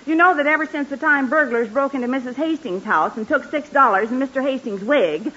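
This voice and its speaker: A woman's voice: high voice, brisk, sharp tone. An American woman acting a fretful, fussy middle-aged wife and mother in a 1940s radio comedy. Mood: fretful, resigned. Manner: fretful, fussing. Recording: muffled hissy 1940s radio broadcast.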